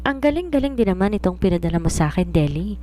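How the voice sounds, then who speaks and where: A woman's voice: lowish voice, very animated, fast talker, dark tone. A woman with a Filipino accent reading a cheerful, easygoing older male judge in a storybook. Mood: cheerful, approving. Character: genial older male judge, master of the house.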